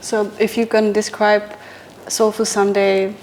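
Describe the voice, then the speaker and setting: A woman's voice: mid-range voice, a little slow, a little hiss or hum, rough recording. A woman hosting an interview, asking short questions and giving frequent brief acknowledgments. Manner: brief prompts and steady backchannel. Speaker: podcast host.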